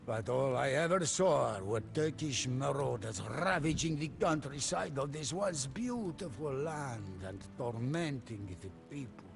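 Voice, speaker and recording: An actor playing a solemn storyteller who recounts a medieval warlord's tale in dramatic game cutscene narration. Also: male voice, mid-range voice, expressive, unhurried, vocal fry, hardly any pauses. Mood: weary, hopeful. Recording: music behind the voice.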